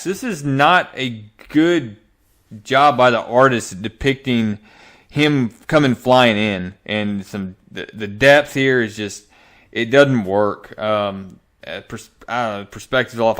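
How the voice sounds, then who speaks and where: A man's voice: mid-range voice, fairly bright tone. A man giving casual review commentary as a comic book reviewer, in a sarcastic, exasperated manner.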